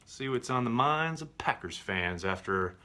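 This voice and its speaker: A man giving casual sports commentary as a show host, measured and conciliatory in how he responds. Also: mid-range voice, animated, bright, forceful tone.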